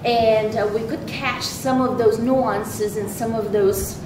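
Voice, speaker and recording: A woman's voice: steady tone, slow pace. A woman from Brazil speaking as a panelist, hesitant with many fillers and restarts. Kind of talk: panel discussion remarks. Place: large university event hall. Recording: echoey hall, distant mic, background rumble.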